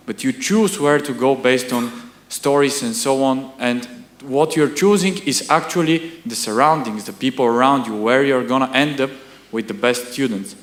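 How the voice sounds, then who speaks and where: A man's voice: mid-range voice, fairly bright tone, roomy sound. A young man with a Bulgarian accent, originally from Bulgaria, asking a long question from the audience and searching for words. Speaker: audience member. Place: lecture hall.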